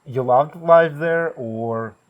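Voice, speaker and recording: A man's voice: fairly high pitch, takes it slowly, narrow, tinny audio. A man interviewing a property owner outdoors, asking short curious follow-up questions. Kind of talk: casual interview.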